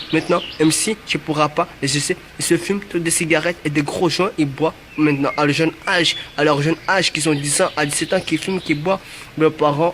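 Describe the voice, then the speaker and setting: A young rapper in his twenties, from Marseille with roots in Mayotte, chants a loose freestyle in repeating rhythmic lines, swaggering with street slang. His voice sits a touch high for a man. Loud traffic noise runs under the recording. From Marseille, family from Mayotte. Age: 20s. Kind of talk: amateur rap freestyle.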